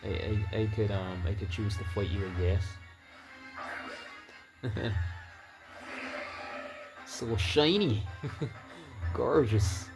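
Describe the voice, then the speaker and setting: A man's voice: lowish voice, expressive, extremely slow, long pauses, music in the background, low-quality recording. A man from Barbados with a Barbadian accent, chatting loosely while he plays a video game, often trailing off and restarting his words. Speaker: gaming YouTuber. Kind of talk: casual gameplay commentary.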